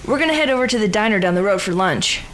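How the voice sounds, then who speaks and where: An American actress playing an anxious, impatient young daughter in an audio drama. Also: female voice, mid-range voice, animated, rapid-fire, fairly bright tone, a little hiss or hum, lightly acted.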